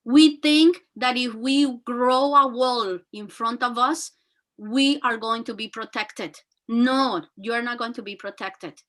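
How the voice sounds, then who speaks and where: A woman with a very high, bright and forceful voice talks earnestly, her full of fillers and restarts. She speaks as a teacher and guest, giving motivational advice. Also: takes it slowly. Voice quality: very high-pitched voice. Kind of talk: motivational talk.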